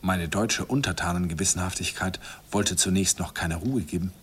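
A man's voice: deep voice, normal speed, husky, heavily acted. An actor playing a wistful man narrating his youthful romance in a radio play, lyrical in manner. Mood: reflective. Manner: lyrical, animated storytelling. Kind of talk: dramatic first-person radio play narration. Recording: slightly noisy old radio recording.

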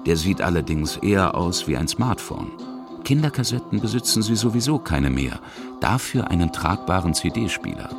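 A man narrating a scripted radio feature, putting questions as he goes. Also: low-pitched. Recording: music under the voice. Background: music.

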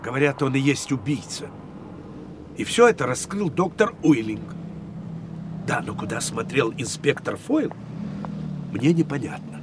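A man's voice: mid-range voice, animated, a little slow, pauses often, background noise, natural voice. An actor in a radio play voicing a sceptical, gossipy male bystander.

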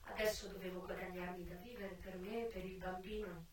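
An actress performs a young single mother who once sold herself, telling her life in a theatrical monologue. Her voice is high and emotional, moving between hushed confession and open wailing. It sounds distant in a heavily reverberant space, with music clearly behind it. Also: steady tone, brisk, close to an everyday voice. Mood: weary, subdued. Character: young American former prostitute, single mother, raw and defiant. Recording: distant, very echoey stage recording with music.

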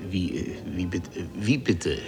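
An actor playing a naive, bewildered Parisian bookseller who speaks hesitantly and stammers in a 1970s German radio play. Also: male voice, low voice, lively intonation, unhurried, a little mellow. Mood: confused, pleading. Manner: hesitant, stammering, puzzled questioning.

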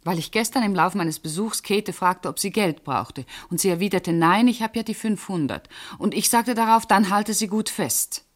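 An actress playing a pointed, suspicious witness under questioning in a radio crime drama. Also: female voice, medium pitch, moderate pace, hardly any pauses, lightly acted. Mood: insistent. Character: pointed, suspicious female witness, friend of the victim. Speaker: actor.

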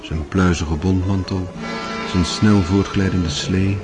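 A man narrating a literary radio drama in a measured storytelling manner. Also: sits low, steady tone. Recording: music under the voice. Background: music.

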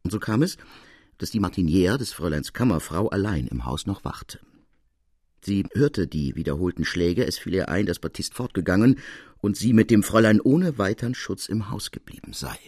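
A man narrating literary fiction in an expressive, suspenseful, dramatic style. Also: low-pitched, normal speed, husky, no room sound, studio quality.

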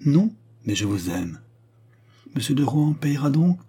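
A man's voice: mid-range voice, very animated, measured pace, warm tone, husky, pitched above the performer's own voice. A man voicing a proud, imperious queen in a dramatized historical novel, speaking in a commanding, theatrical way. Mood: impassioned. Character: proud, imperious queen, defiant and wounded in love.

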